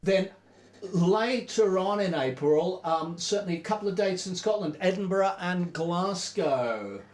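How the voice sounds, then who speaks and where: A man hosting an informal livestream, talking in a relaxed, rambling way with lots of fillers. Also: high-pitched, a little slow, echoey room. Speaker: band member hosting a livestream.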